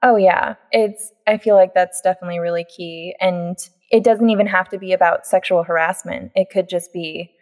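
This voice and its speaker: A woman hosting a podcast, talking in a relaxed, agreeable way with casual fillers. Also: on the low side, normal speed, few pauses. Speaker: podcast host.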